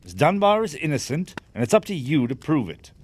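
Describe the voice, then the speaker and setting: A man's voice: on the high side, very animated, a little fast. A man playing a proud, hot-tempered wealthy businessman in an audio drama, blustering and formal in manner. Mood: demanding, guarded. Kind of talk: audio theatre acting.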